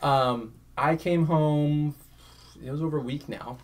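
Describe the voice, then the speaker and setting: A soft-voiced man chatting casually as a guest on a podcast. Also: a little high, measured pace. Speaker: podcast guest, campus ministry worker. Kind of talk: casual chat.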